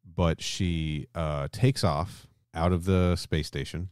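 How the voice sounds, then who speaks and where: A man's voice: low voice, steady tone, slow pace, a little mellow, clear, resonant tone, no room sound, clean studio sound. A man co-hosting a podcast, talking casually and emphatically, with some swearing. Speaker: podcast co-host. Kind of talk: casual discussion and film plot recap.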